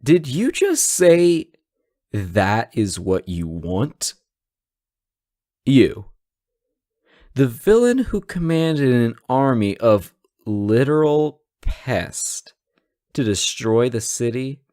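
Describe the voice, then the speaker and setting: A man's voice: medium pitch, very animated, drawn-out words, pauses often, dark tone, no echo, clean studio sound. Guy acting as a sassy male hostage in a superhero audio roleplay, swoops around a lot, takes his time, teasing. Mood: incredulous, mocking. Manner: teasing, theatrical, conversational. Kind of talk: scripted roleplay audio drama, one side of a conversation.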